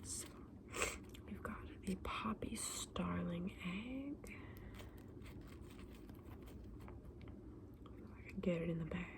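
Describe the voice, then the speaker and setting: A woman acts out a kindly fantasy shopkeeper in a whispered ASMR roleplay. Her voice is low and soft, with a crackly fry at the edges. She chats gently and without hurry to her customer, over a little background noise. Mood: warm, pleased. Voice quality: soft, breathy whisper mixed with low soft speech. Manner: gentle, unhurried, hesitant, endearing customer address. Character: kindly, chatty saleswoman in a dragon egg shop.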